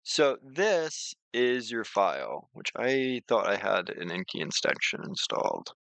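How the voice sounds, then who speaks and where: A man giving an informal screen-share software tutorial, talking conversationally and repeating words for emphasis. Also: medium pitch, big pitch swings, a little slow, gravelly, no room sound.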